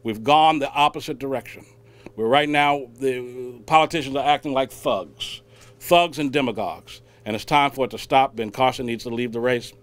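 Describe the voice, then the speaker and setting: A man speaking emphatically and deliberately at a news conference, repeating phrases for weight as he makes a public statement. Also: medium pitch. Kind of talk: public statement, impassioned speech.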